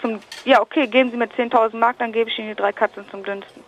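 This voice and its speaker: Man, very high pitch, blunt, indignant, spontaneous phone talk, lo-fi line. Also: steady tone, fast talker. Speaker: private seller answering a prank phone call. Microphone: telephone. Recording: dull, lo-fi telephone line.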